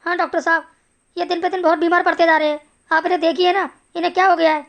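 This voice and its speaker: A woman voicing an eager, respectful villager in an animated Hindi story, chatty and deferential. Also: very high voice, fast talker, muffled recording. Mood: worried.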